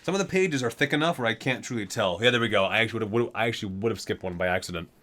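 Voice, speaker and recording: A man's voice: fairly low pitch, rapid-fire, fairly bright tone. A man reading aloud in an ASMR style, stumbling over words and correcting himself between casual asides. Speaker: ASMR video host.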